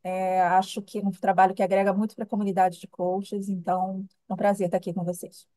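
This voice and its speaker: A woman's voice: mid-range voice, level tone, brisk, mellow, dry recording. A middle-aged woman with a Rio de Janeiro accent, answering interview questions in a reflective, conversational way. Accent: Rio de Janeiro Brazilian Portuguese. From Rio de Janeiro, Brazil. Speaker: interview guest, human development professional.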